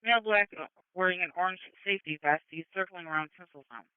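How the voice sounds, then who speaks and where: A man's voice: high-pitched, fast, smooth, dry recording. A man working as an emergency dispatcher, talking over a two-way police radio. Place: radio transmission heard over a scanner. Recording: narrow tinny police scanner radio.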